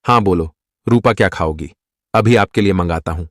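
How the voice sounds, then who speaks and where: A man's voice: sits low, moderate pace, dry recording, studio quality, natural voice. A man voicing a serious, formal, guarded young fiancé in a cartoon story. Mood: polite. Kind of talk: Hindi cartoon story acting.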